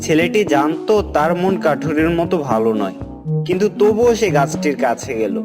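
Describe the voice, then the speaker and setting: A man's voice: fairly high pitch, animated, moderate pace. A man narrating a scripted children's moral story as the storyteller. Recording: loud music behind the voice.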